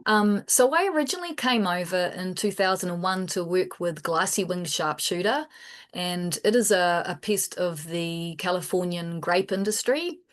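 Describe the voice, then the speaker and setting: A middle-aged woman with a New Zealand accent speaks as a guest on a research panel. She talks in a relaxed, slightly hesitant way, pausing with fillers. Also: lowish voice. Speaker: invasive pest researcher. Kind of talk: panel guest on video call.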